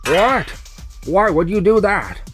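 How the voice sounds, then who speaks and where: A man's voice: sits high, lively intonation, takes it slowly, fairly bright tone, faint music behind, exaggerated voice. A man playing a defensive, formal hospital official in a manga dub, with a businesslike delivery. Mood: surprised.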